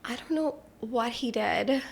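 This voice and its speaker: A woman's voice: fairly high pitch, unhurried, vocal fry, husky. A woman who grew up in Pakistan, a podcast guest telling stories in casual chat, full of "like" fillers and laughing as she talks.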